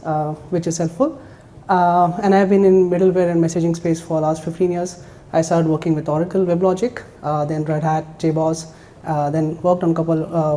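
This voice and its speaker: Woman, low pitch, mellow tone, guest speaker, informal introduction, faint background noise. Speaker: guest speaker, support engineer. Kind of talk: informal self-introduction at a talk. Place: indoor meetup room.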